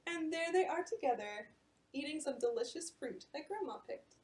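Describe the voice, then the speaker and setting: A woman librarian reading a picture book aloud at bedtime storytime and explaining it to children in an animated way. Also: sits high, moderate pace, slight background noise.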